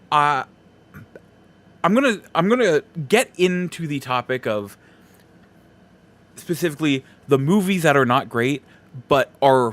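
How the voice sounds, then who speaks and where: A man hosting a solo podcast, giving casual commentary in a halting, rambling way and repeating himself for emphasis. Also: mid-range voice. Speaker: podcast host.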